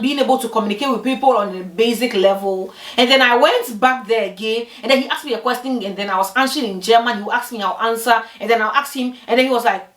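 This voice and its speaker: Young woman, storytelling vlog, animated, higher voice, echoey, distant mic. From Ghana. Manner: animated, acts out quoted people with big pitch jumps. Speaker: vlogger. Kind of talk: personal storytelling to camera.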